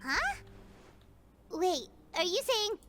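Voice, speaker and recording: Tiny chirpy game sidekick, squeaky and wildly swooping, unhurried, music behind. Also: female voice, sing-song, heavily acted. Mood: surprised. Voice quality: squeaky, childlike. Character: small childlike chirpy female floating companion, eager. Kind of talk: animated game voice acting.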